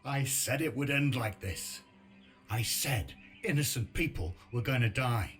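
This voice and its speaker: An actor playing a weary but determined resistance fighter in a video game cutscene, grave and intense. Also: male voice, mid-range voice, unhurried, a bit bright, natural voice. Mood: grim resolve. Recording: music behind the voice.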